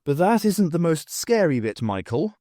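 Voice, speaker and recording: A man acts out a worldly woman lecturer for an audiobook, brisk and wry with a flustered edge. The pitch is fairly high and very mobile, in a close, dry recording. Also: big pitch swings, mellow, dry recording. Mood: brisk, instructive. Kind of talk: audiobook character acting.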